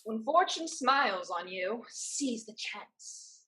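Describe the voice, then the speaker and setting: A woman's voice: on the high side, animated, slow pace, pushed and bright, full character voice, low-quality recording. A woman playing a bold, headstrong, defiant young survivor in a staged play reading, theatrical and emphatic. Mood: giddy.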